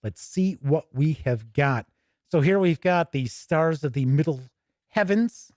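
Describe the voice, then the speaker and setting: A man with a slightly high-pitched voice reviews tabletop games in a dry, close-sounding space. He talks conversationally and gives his opinions freely. He often pauses mid-sentence as he thinks aloud. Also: animated, darkish tone, no echo. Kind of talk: casual unscripted product review with passages read aloud.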